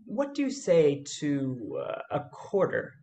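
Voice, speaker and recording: An actress playing a genial, scheming adventurer in a radio play, with a jaunty, coaxing manner. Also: female voice, low-pitched, huge pitch swings, slow pace, mellow, a little hiss or hum, full character voice.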